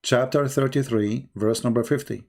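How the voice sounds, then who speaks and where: A man's voice: mid-range voice, fairly even intonation, moderate pace, gravelly, a little mellow. A man with an Arabic accent hosting a heated call-in debate, bluntly repeating his questions and pressing the caller. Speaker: host of a live call-in debate.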